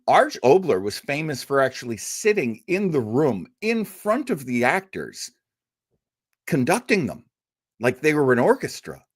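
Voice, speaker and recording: A man's voice: mid-range voice, dry recording, clean studio sound. A man speaking as a radio show host, giving a casual, rambling live introduction like an enthusiastic, chatty storyteller. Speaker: host of an old-time radio listening show.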